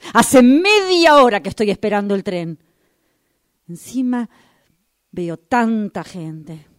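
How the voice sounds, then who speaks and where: Slow, dramatically brooding female commuter, acted. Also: on the high side. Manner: dramatic, deliberate, repetitive musing. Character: restless woman waiting for a train, pondering strangers' lives. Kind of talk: radio drama monologue workshop exercise.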